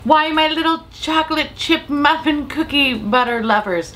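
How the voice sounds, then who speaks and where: A young woman with a slightly raspy voice from a cold, chatting playfully and profanely while trying on makeup at home. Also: high-pitched, normal speed, a bit bright, slight background noise. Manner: playful, profane, self-mocking. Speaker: beauty vlogger. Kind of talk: casual makeup vlog chat.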